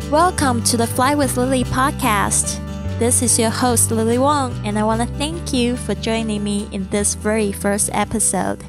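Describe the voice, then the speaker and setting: A woman in her thirties from Taiwan with a Mandarin accent, a podcast host reading a calm, earnest, inspirational script. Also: a little high. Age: 30s.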